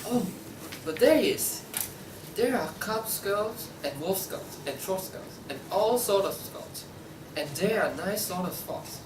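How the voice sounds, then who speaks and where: Girl as gentle naive pig, slow talker, big pitch swings, distant noisy recording. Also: animated. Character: kindly, naive pig juror, easily second-guessing. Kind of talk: student table read of a comic play. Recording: echoey noisy room, distant mic.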